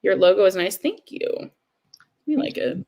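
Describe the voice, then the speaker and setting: A woman's voice: medium pitch, animated, moderate pace, dark tone. A woman from New Jersey co-hosting a live hockey stream, talking casually with lots of fillers. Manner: casual, filler-heavy, laughing. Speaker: co-host.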